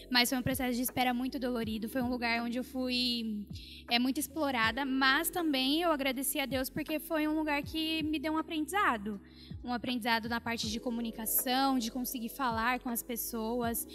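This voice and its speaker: A woman's voice: high-pitched, fairly bright tone. A woman, sharing a personal testimony as a podcast guest, earnest and full of fillers. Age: 20s.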